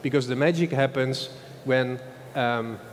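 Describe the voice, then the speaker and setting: A man's voice: medium pitch, a little slow. A man with a Dutch accent, a marketing executive giving a loose, chatty conference talk, often tagging lines with "huh?". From Netherlands. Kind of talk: conference presentation. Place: large old event hall. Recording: long hall reverb, faint background noise.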